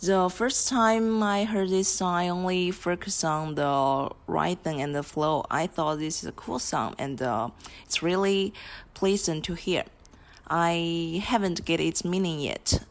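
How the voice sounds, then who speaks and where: Woman from chongqing, chinese accent, english not her first language, low voice, hesitant, many "uh" fillers. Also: steady tone, unhurried. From Chongqing, China. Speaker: guest speaker. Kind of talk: prepared spoken monologue.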